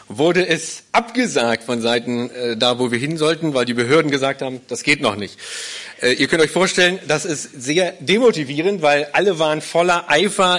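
A man's voice: on the high side, fairly bright tone. A man in his forties from Berlin, a guest pastor speaking to a congregation in an earnest, explanatory way. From Berlin, Germany. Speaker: guest pastor leading a small church plant. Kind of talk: live interview, personal testimony to a congregation.

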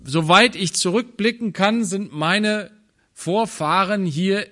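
A man from Germany giving a thoughtful sermon, pausing and restarting, sometimes dropping into quiet low asides. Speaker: preacher.

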